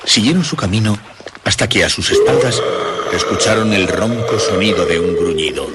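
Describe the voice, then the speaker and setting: A man narrating a scripted horror story for radio in a measured, dramatic storytelling manner. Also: lowish voice, animated, heavy background noise.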